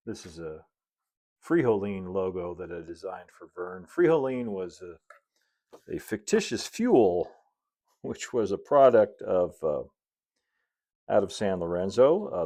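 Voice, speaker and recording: A middle-aged man with a very low voice hosts a craft tutorial. He talks through each step in an easygoing, folksy manner, dropping in chatty asides as he goes. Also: mellow. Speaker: model railroad builder and video host. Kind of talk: casual hobby tutorial narration.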